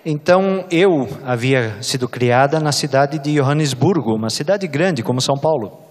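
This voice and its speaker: A woman's voice: extremely low pitch, expressive, moderate pace, a little mellow. Brazilian woman interpreting in an echoey hall. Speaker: consecutive interpreter. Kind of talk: live interpreting of a church address. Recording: echoey hall with long reverb.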